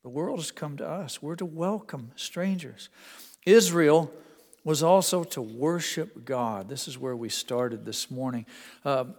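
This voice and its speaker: A man's voice: a little high, a little slow, gravelly. A man with an Indiana accent preaching a sermon to his congregation in an earnest, conversational way with folksy asides. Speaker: pastor. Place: church sanctuary. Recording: long reverb of a church hall.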